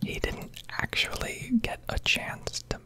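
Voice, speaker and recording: A woman whispering a rambling, casually sweary ASMR commentary over gameplay, close to the microphone. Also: takes it slowly. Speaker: game streamer.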